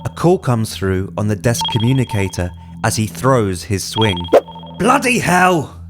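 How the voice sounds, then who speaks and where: A man's voice: lowish voice, normal speed. A man reading stage directions as scripted narration for an audio drama. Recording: music under the voice.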